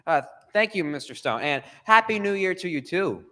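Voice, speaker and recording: Pompous, hard-of-hearing principal played by a man, sputtering radio comedy, bright forceful voice, reverberant hall. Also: high-pitched, sing-song, moderate pace, exaggerated voice. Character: blustery, half-deafened, pompous male school principal. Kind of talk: old-time radio comedy acting. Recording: echoey hall with long reverb.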